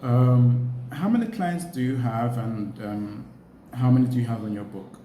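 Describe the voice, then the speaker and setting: A man hosting an interview, asking questions in a halting way, restarting and repeating phrases. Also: mid-range voice, mellow. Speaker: show host, interviewer. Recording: echoey room with faint background noise.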